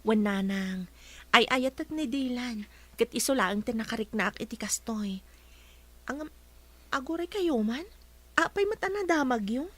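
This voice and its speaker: A woman's voice: fairly high pitch, expressive, unhurried, long pauses. A woman with an Ilocano Filipino accent playing an eager, insistent young bride-to-be in a radio comedy drama.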